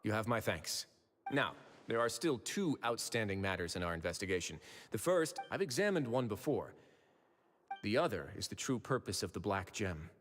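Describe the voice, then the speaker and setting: An actor playing a composed, authoritative duke in a video game, measured and formal, quietly protective. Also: male voice, fairly low pitch, big pitch swings, a little hiss or hum. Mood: calm, formal. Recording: reverberant, with background music.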